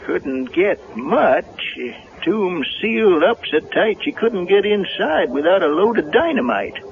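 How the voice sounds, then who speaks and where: Slow, folksy old New caretaker. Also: male voice, high-pitched, sing-song, gravelly, full character voice. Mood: gruff, halting. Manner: folksy, halting, hesitant drawl. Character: folksy old male island caretaker and boatman, evasive, deferential. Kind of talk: vintage radio drama acting. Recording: muffled, noisy 1970s radio recording.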